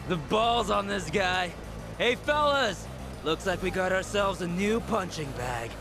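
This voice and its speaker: An actor playing a cocky, jeering thug with a gravelly voice in a video game cutscene. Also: male voice, high voice, lively intonation, slow pace, full character voice. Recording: music under the voice.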